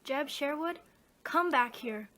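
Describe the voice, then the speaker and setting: A woman's voice: high-pitched, expressive, slow, pushed and bright, full character voice. A woman playing a sensible young girl, a protective elder sister, in a radio drama, with an earnest, plain-spoken manner. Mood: urgent, earnest.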